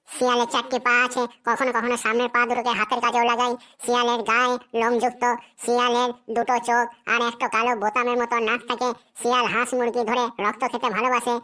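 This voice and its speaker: Woman doing a cheeky little cartoon schoolboy, high and bright, reciting his essay like a chant. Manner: rattles off a memorized essay in a sing-song recital. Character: cocky schoolboy who turns every essay into a fox essay. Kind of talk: cartoon voice acting.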